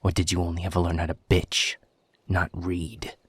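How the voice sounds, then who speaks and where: Audio roleplay werewolf enforcer, played by a man: very husky, aggressive, sarcastic, dry close sound. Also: sits low, completely flat delivery, moderate pace, no room sound. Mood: sneering interrogation. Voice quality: gravelly, husky. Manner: taunting, sarcastic, swearing. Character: aggressive, foul-mouthed male werewolf pack beta.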